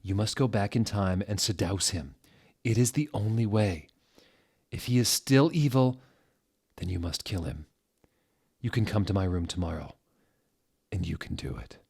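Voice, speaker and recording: A man voicing a grave, mysterious female fortune-telling professor who speaks in ominous, measured pronouncements. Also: fairly low pitch, lively intonation, gravelly, a little mellow, slightly rough, heavily acted.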